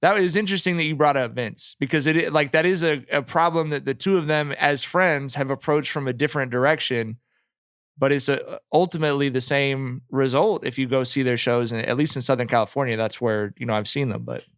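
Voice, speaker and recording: A man hosting a podcast in a casual conversational style, informal and thinking out loud as he talks. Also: medium pitch, brisk, clean tone, no room sound, studio quality. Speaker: podcast host.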